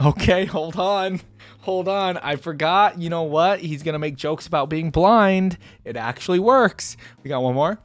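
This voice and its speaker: A man's voice: fairly high pitch, moderate pace, dry recording. A man hosting a reaction video, giving casual, slangy, off-the-cuff commentary. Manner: informal, slangy.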